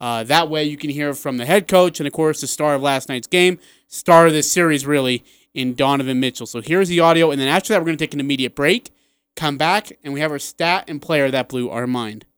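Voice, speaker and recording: A man's voice: a little high, brisk, a bit bright, clean tone, dry recording. A man hosting a sports radio show, casually running through schedules and what is coming up next.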